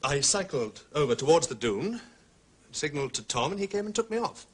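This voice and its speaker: An actor playing an indignant, blustering man under questioning in a radio drama, with a husky voice. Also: on the high side, big pitch swings, brisk. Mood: defensive, recounting. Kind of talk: British radio drama acting.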